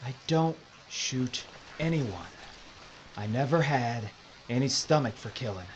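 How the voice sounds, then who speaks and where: A man's voice: mid-range voice, a little slow, lo-fi sound, close to an everyday voice. Jumpy reluctant gunslinger, a guy acting it, folksy drawl, noisy recording. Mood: weary. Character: reluctant gunfighter who says he is a regular guy. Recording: noisy audio drama recording.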